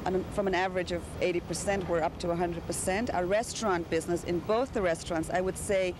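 A young woman gives quick interview answers as a hotel representative. She is recorded outdoors on an old TV tape with clear city noise. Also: on the low side, fairly even intonation. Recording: noisy 1980s outdoor TV tape.

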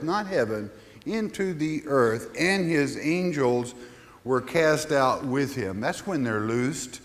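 Male pastor, mid-pitched, chatty teaching delivery with scripture readings, long hall echo. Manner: conversational, asks the listeners questions, reads scripture aloud. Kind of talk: Bible study teaching.

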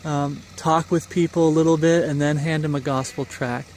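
A man's voice: a little high, steady tone, normal speed, darkish tone, slight background noise. A middle-aged man giving an informal, rambling sermon while walking outdoors on a wooded trail, recorded on a handheld phone. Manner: earnest, rambling, exhorting with fillers. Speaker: street preacher. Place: foggy woodland trail outdoors. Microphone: phone camera held at arm's length.

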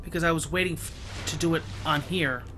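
Bright-voiced man casually commentating a game. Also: fairly high pitch, steady tone, brisk. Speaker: gaming streamer. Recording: game music behind. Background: video game music.

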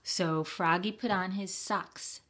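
Woman doing storytime for kids, slow and expressive, really bright voice. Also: lowish voice. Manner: deliberate, expressive, with playful sound words. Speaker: storytime reader at a library. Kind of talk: children's picture book read-aloud.

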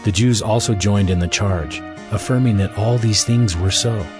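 A man's voice: fairly low pitch, normal speed, music in the background. A man narrating dramatized scripture as a scripted reading.

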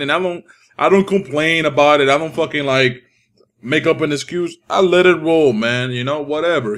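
A man's voice: on the high side, fairly bright tone. A young man of Dominican background giving casual, profane, trash-talking commentary over his own online match. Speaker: gaming YouTuber. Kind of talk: live gameplay commentary. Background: game music.

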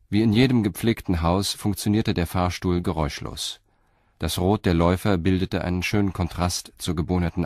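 A man's voice: deep voice, darkish tone, no echo, clean studio sound. A man reading scripted narration for an audio drama.

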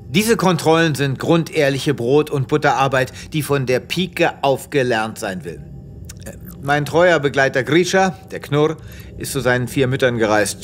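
An actor playing a self-important, earnest space veterinarian in a comic science-fiction radio play, with a pompous theatrical delivery. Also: male voice, mid-range voice, lightly acted.

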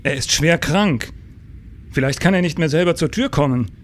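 An actor playing a senior police chief in a German crime radio play, speaking with brisk authority. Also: male voice, on the high side, a little hiss or hum, lightly acted. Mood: brisk questioning.

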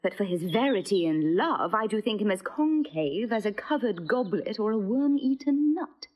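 An actress playing a witty, teasing young woman in a stage play, with a husky voice and an ironic manner. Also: mid-range voice, sing-song, moderate pace, muffled recording.

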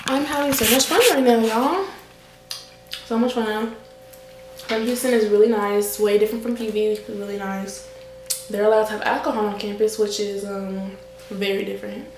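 A woman's voice: medium pitch, fairly even intonation, normal speed, noisy background. A young woman vlogging about a college visit in a chatty way, filled with "like," filmed on a camera held at arm's length in a car and dorm rooms. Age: young adult. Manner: chatty, fills talk with "like". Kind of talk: casual vlog chat. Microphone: handheld camera at arm's length. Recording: loud music behind.

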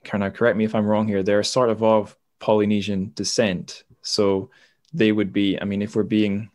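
A man's voice: on the low side, little pitch movement, normal speed, a little mellow, no room sound, studio quality. A man hosting an interview in a casual, tentative and slightly self-deprecating way. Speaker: podcast host, interviewer.

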